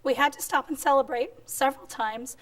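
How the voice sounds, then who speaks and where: Woman at a podium mic, voice kinda squeaky and strained, upbeat, lots of ums, a bit high. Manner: upbeat, brisk, with frequent ums. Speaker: hospital executive presenter. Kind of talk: conference presentation. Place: conference room at a podium. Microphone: podium microphone.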